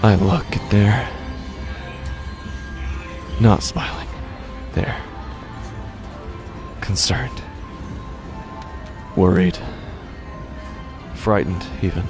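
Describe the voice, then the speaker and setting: A man playing a desperate, unraveling gambler, narrating a horror audio drama in the first person with halting, haunted, dramatic delivery. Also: low voice, music in the background. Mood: shaken, frightened.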